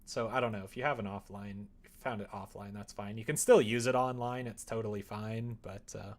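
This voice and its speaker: A man with a fairly low voice hosts a casual livestream. He talks to his viewers in a loose, rambling way, thinking out loud as he goes. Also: fairly even intonation, brisk. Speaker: live streamer. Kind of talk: casual chat with stream viewers.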